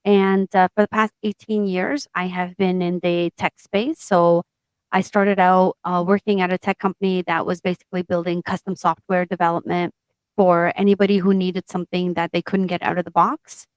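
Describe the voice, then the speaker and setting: A woman in her forties, a tech founder from Portugal, telling her story in an interview in a steady, matter-of-fact way. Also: lowish voice, moderate pace, smooth, dry recording. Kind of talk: interview conversation.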